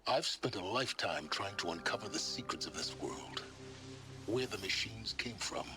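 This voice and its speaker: Man acting a deep, haughty, secretive scholar. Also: expressive, close to an everyday voice. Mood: brooding, grand. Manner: pompous, measured, sardonic, eloquent. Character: gruff, haughty, secretive older male scholar, impatient and imperious. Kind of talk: dramatic video game voice acting. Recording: loud game music behind the voice. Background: game music.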